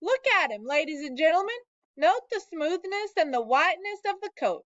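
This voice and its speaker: A woman voicing a pompous fair loudspeaker announcer who booms out slow, grand announcements. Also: big pitch swings, dry recording, heavily acted. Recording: dull lo-fi dry recording.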